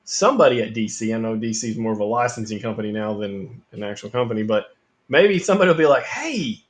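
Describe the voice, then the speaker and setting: A man's voice: on the low side, lively intonation, quick pace. Man hosting a gaming chat. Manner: affirming, lots of "yeah" and "no doubt". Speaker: podcast host. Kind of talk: casual interview.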